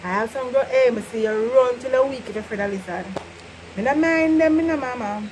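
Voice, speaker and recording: Woman, Jamaican accent, young adult, high-pitched, animated patois vlogger. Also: a little fast, slight background noise, rough recording. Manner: animated, laughs often, slips into patois. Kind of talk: casual chatty lesson.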